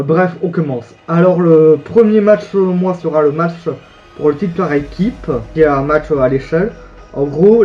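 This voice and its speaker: A man's voice: on the high side, muffled recording. A young man rambling through wrestling predictions in casual solo commentary, full of hesitations and self-corrections. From French-speaking. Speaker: YouTuber giving wrestling predictions.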